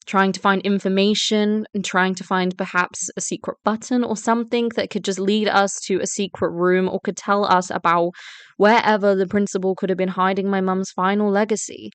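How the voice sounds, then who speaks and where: A woman playing a wary, defiant student girl in a story drama, smooth and clear, explaining things at length. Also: medium pitch, flat delivery, normal speed, no echo, natural voice. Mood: recounting, tense. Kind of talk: first-person story narration and voice-acted dialogue.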